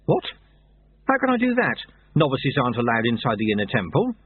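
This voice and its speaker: A man's voice: mid-range voice, wildly swooping pitch, fairly quick, slightly rough, dry recording. A man voicing an anxious, hasty young male novice monk who speaks in a nervous, eager way. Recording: tinny phone-like sound.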